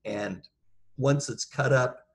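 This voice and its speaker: A man giving an informal expert talk over a video call, explaining things in a halting, measured way. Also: medium pitch. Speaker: guest speaker, seafood industry professional.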